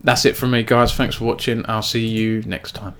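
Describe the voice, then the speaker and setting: A man hosting a casual weekly esports news roundup, speaking in a flat, self-described monotone and peppering his talk with "um" and "uh". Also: lowish voice, moderate pace, very noisy. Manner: self-described monotone, filler-heavy delivery. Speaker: esports news editor and host.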